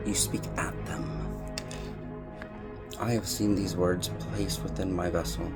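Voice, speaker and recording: A man speaks in a low-pitched voice over clearly audible music. His delivery is solemn and deliberate, like a personal spiritual testimony to his followers. He repeats words for weight and keeps an earnest tone. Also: flat delivery. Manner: solemn, deliberate, emphatic repetition. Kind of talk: earnest spoken monologue on personal spiritual beliefs.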